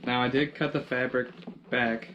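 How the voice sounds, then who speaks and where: A man's voice: mid-range voice, steady tone, normal speed. A man giving casual hands-on commentary as he works, thinking aloud in muttered fragments. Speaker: DIY vlogger. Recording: noisy, dull lo-fi camera audio with clicks.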